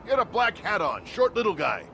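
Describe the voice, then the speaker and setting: A man's voice: high-pitched, big pitch swings, brisk, vocal fry, sharp tone, a little hiss or hum, heavily acted, rough recording. A man voicing a brash, agitated pursuer hunting a fugitive in a video game, urgent and exasperated. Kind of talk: video game character acting.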